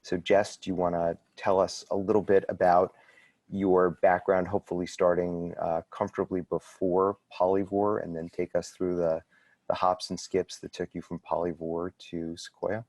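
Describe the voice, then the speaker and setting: A man moderating a panel, introducing topics in a halting way with many fillers. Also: sits low, level tone, darkish tone, no room sound.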